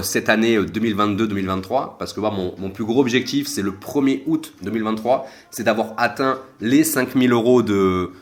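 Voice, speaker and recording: A young man hosting a casual solo podcast, rambling with many fillers and restarts. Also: fairly low pitch. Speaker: podcast host.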